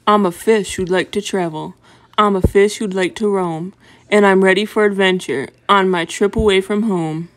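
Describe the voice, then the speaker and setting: A woman's voice: medium pitch, fairly even intonation, normal speed, pitched above the performer's own voice, natural voice. A woman voicing an earnest travel-loving fish in rhythmic rhyme for a children's storybook. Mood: eager. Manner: earnest, rhythmic rhyming delivery.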